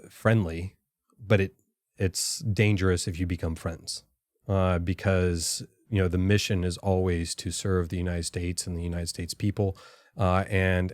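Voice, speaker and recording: A middle-aged man, a podcast guest and former intelligence officer, answering in a careful, halting, reflective way. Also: low voice, darkish tone, dry recording, clean studio sound.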